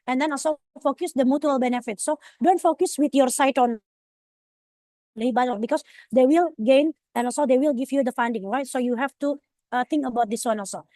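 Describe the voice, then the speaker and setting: A young woman with an Indonesian accent walks through slides on a video call. Her voice sits a little high, and she hedges and restarts often. The sound is dry and close. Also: brisk, dark tone, no echo. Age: young adult. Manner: hesitant, many fillers and restarts. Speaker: presenter. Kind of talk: online orientation presentation.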